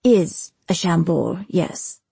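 A woman voicing a cool, sardonic young male lieutenant with a deadpan, controlled manner, as in an audiobook. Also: fairly low pitch, measured pace, husky, dry recording. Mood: guarded, quietly menacing.

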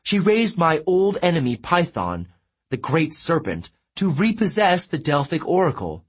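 A man's voice: fairly high pitch, huge pitch swings, measured pace, breathy edge, voice pushed up, exaggerated voice. A man voicing a vain, melodramatic male sun god who wails and pities himself. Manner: wailing, theatrical and self-pitying.

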